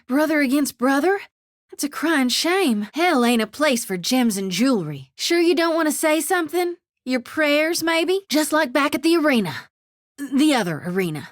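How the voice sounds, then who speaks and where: An actress voicing a plucky young priestess in a video game, who talks in a plainspoken, folksy rural drawl. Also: female voice, high voice, dry recording, clean studio sound. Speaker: companion character. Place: recording studio.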